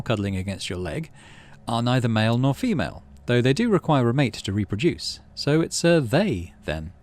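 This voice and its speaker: A man hosting a let's play, reading a story game aloud with expressive delivery and muttering asides about his moves. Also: medium pitch.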